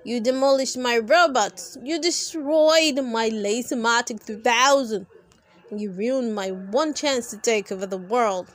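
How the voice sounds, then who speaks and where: A woman voicing a blustering, vengeful male evil doctor from a children's storybook, with melodramatic shouting. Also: on the high side, unhurried, natural voice. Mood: furious, menacing.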